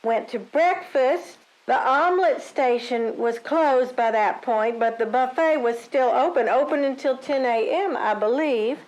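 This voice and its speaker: A self-important country-club lady in an audio drama. Her voice is high and unhurried, offhand and scatterbrained, as if testimony were beneath her. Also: a little mellow, low-quality recording. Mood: breezy, vague. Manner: breezy, entitled, offhand.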